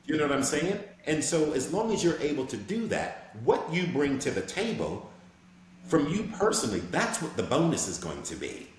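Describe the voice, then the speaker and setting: A man's voice: fairly high pitch, big pitch swings, moderate pace. Man in a very echoey room. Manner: reflective, earnest, often asks "you know what I'm saying". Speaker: guest voice actor. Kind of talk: casual interview conversation. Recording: very echoey room with faint background noise.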